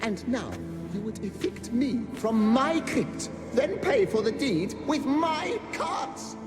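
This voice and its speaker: A man's voice: very high voice, expressive, slow, over music, heavily acted. An actor playing a bitter, sarcastic dead nobleman and gambler in a video game, exasperated and theatrical. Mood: exasperated, outraged. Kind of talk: video game dialogue acting. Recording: long hall reverb.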